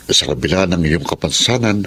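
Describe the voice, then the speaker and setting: An actor playing a cordial, authoritative Filipino college dean in a radio drama, with a formal, benevolent manner. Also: male voice, deep voice, lively intonation, moderate pace, sharp tone, slight background noise. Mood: approving.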